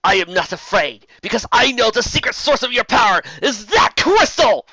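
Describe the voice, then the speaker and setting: A man's voice: very high-pitched, animated, moderate pace, bright, forceful tone, slightly rough, no room sound, highs cut off, pitched above the performer's own voice, full character voice. A man voicing a brave pegasus barbarian queen, a bold heroine in a fantasy story. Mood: defiant.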